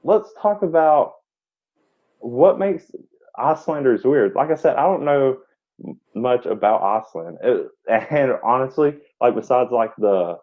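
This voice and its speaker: A man's voice: medium pitch, animated, a little slow. A man hosting a podcast, talking casually in a rambling way as he interviews an author. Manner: rambling, many fillers and restarts. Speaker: podcast host. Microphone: online call. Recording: tinny phone-like online call audio.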